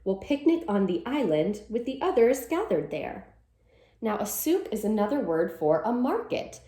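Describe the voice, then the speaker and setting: A woman's voice: medium pitch, very animated, moderate pace, dark tone, roomy sound. A woman teacher reading a picture book aloud to children, with sing-song verses and simple explanations.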